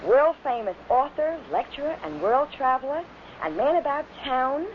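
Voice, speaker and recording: A woman's voice: sits high, lively intonation, moderate pace. A woman announcing a guest in a broadcast introduction style. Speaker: TV show announcer. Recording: dull, faintly noisy vintage broadcast.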